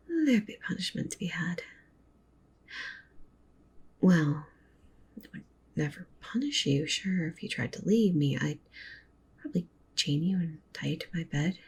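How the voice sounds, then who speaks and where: A woman playing a doting, possessive wolf witch girlfriend in an ASMR roleplay, speaking softly and breathily, often in a whisper. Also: fairly low pitch, a little hiss or hum. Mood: possessive, menacing. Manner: cooing, doting, with possessive menace. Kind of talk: ASMR roleplay, intimate soft speech and whispering.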